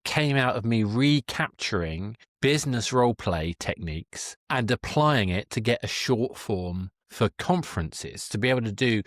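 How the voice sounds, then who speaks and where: A man's voice: on the low side, no echo, clean studio sound. A man hosting a podcast, chatting casually in an interview and often breaking off to restart his thought. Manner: halting, self-interrupting. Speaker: podcast host.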